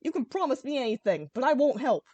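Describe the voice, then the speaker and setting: A woman's voice: high-pitched, very animated, fast, a little mellow, dry recording, voice pushed up. A woman voicing a brash, sarcastic young male dragon hero in a fan fiction reading. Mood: defiant. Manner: sarcastic, exclamatory.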